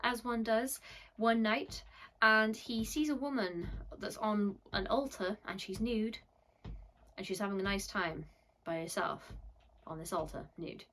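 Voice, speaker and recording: A woman's voice: medium pitch, measured pace, fairly bright tone, slight background noise. Chatty woman rambling about books. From Wales. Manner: chatty, rambling, many fillers; bashful, self-deprecating. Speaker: book reviewer. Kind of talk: casual book review vlog.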